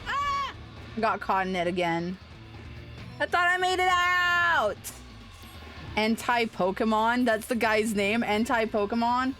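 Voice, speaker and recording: A woman livestreamer chatting casually as she plays a video game, expressive and playful, given to exclamations. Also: a little high, takes it slowly. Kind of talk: casual live gameplay chat and commentary. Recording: game music behind the voice. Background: video game music.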